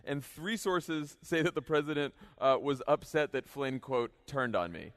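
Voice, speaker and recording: A man's voice: mid-range voice, unhurried. Wry man hosting political panel talk. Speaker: podcast host. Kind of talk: casual political panel talk before an audience.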